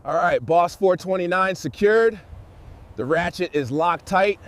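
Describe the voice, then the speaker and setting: A man's voice: high-pitched, expressive, a little slow, slight background noise. A man working as a car restorer gives scripted commentary on the job.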